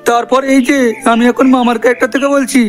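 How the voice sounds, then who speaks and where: Very high-pitched cartoon uncle. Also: male voice, flat delivery, quick pace. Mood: earnest. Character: talkative uncle in a comedy cartoon. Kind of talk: cartoon character addressing viewers. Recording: voice over background music.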